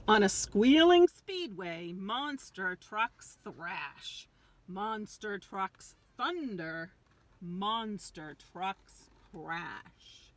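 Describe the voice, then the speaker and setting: A woman's voice: medium pitch, animated, drawn-out words, a little hiss or hum. An older woman reading a picture book aloud to young children, drawing out key words with dramatic emphasis.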